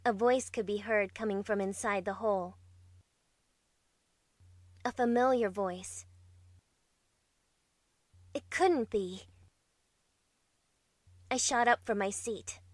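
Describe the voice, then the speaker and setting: A woman's voice: mid-range voice, slow pace, pauses often, no room sound, clean studio sound. A woman playing a bewildered young heroine, narrating her own story in an amateur visual novel dub. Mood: introspective. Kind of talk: first-person visual novel narration, fandub.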